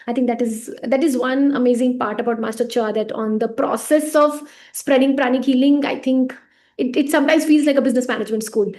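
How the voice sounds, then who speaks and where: Woman, lively with fading endings. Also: sits high, a little fast. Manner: lively, laughs often, trails off softly at phrase ends. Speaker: pranic healing teacher and center organizer. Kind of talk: casual interview answers.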